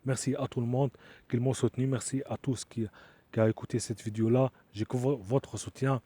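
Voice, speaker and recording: A man giving an earnest, insistent appeal straight to camera, halting often with fillers and restarts. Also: mid-range voice, steady tone, dark tone, slightly rough. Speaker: asylum seeker making an appeal video. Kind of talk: direct-to-camera appeal monologue.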